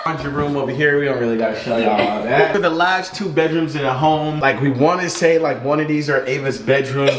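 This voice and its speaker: A man's voice: on the high side, fairly quick, hardly any pauses, a loud crowd. A man in his thirties giving a casual, joking house tour, talking in loose slang. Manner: laid-back, joking, slangy. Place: large house, indoors and by the pool. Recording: echoey rooms with music behind.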